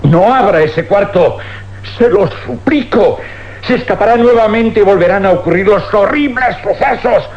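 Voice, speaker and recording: An actor playing an intense, obsessed scientist, phrasing dramatically and emphatically in old radio drama style. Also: male voice, high voice, animated, normal speed. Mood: desperate pleading. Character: intense, obsessed scientist doctor, haunted and insistent. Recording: muffled, faintly humming old radio recording.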